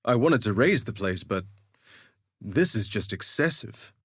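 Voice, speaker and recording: An actor playing a vain, flirtatious gladiator duelist in a video game, with a theatrical, suave and sardonic delivery. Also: male voice, lowish voice, lively intonation, a little slow, fairly bright tone, breathy edge, no echo, studio quality, heavily acted. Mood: cocky, wry. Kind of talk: scripted game voice lines, theatrical quips.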